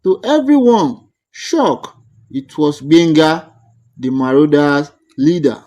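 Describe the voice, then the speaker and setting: A male narrator tells a folktale with dramatic care. His voice sits fairly high and moves in very lively swings, while the delivery stays very slow and deliberate. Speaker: storyteller, narrator.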